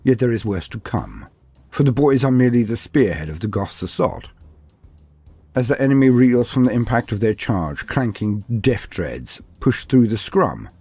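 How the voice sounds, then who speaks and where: Low, mellow man in dramatic narration. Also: breathy edge, no room sound. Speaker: narrator of a fantasy war-game lore video. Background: music.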